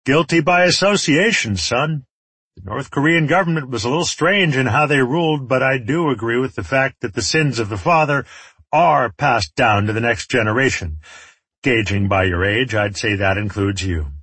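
A man voicing a menacing male warlord in an audiobook, taunting and mockingly genteel in a theatrical, drawn-out way. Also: medium pitch, lively intonation, a bit bright, husky, no echo, voice pushed up, poor audio. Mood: smug, menacing.

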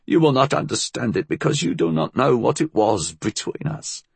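A man narrating an audiobook plays a frail, cunning old blind woman with a menacing air. The voice is pushed high and swoops about, hissing and husky, with bitter formality in every phrase. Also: big pitch swings, normal speed, dry recording, exaggerated voice. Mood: bitter, scornful.

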